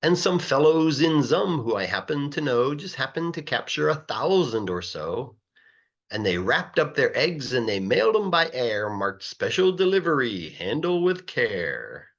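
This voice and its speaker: A man reads a children's story aloud in an expressive, sing-song rhythm, stretching words for emphasis like a teacher reading to a class. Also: medium pitch, unhurried, lo-fi sound. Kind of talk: animated rhyming storybook narration.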